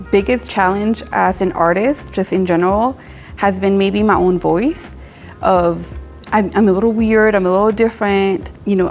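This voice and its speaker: A young adult woman from Mexico, a tattoo artist, talking casually in a relaxed, rambling way with lots of filler words. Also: mid-range voice, vocal fry. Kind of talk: casual interview monologue.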